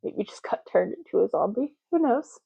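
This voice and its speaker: A woman doing a soft-spoken ASMR roleplay, talking slowly and casually and often repeating words in a deliberate way. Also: fairly high pitch, very animated, gravelly, breathy edge, lo-fi sound.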